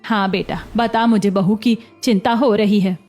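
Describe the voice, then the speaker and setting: A woman's voice: medium pitch, fairly even intonation, unhurried, darkish tone, faint music behind, lightly acted. A woman voicing a caring, concerned older mother-in-law in a cartoon story. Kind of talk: Hindi cartoon story voice acting.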